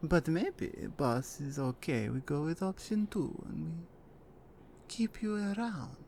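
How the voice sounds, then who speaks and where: A woman's voice: deep voice, lively intonation, vocal fry, slight background noise, heavily acted. A woman voicing a menacing female Russian mafia enforcer in an ASMR roleplay, speaking with a Russian accent and dropping into teasing whispers. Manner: slow, teasing menace with soft whispered asides. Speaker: voice actress.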